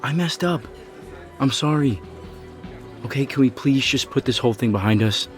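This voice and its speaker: A man's voice: mid-range voice, expressive, moderate pace, natural voice. An actor playing a beleaguered, apologetic male chief executive in an audio soap opera, earnest and uneasy as he pleads. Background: music under the dialogue.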